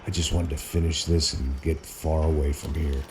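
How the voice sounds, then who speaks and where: A hard-bitten ex-cop narrating his own story in a video game. His voice-over is extremely deep, weary and dryly sardonic, in classic noir style. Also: flat delivery, moderate pace, background noise. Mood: grim.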